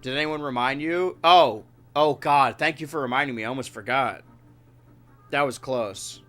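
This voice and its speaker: A man's voice: mid-range voice, normal speed, pushed and bright, soft background music. A man live-streaming from home with a stuffed-up nose, chatting and joking with his viewers and his cats. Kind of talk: casual live-stream chat.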